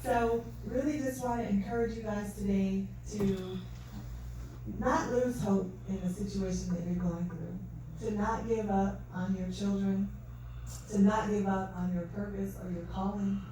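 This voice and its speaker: A soft-spoken woman giving an earnest, faith-filled talk at an event, recorded from a distance in a large hall. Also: medium pitch. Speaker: event speaker. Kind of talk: testimony talk. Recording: distant mic, echoey hall, faint noise.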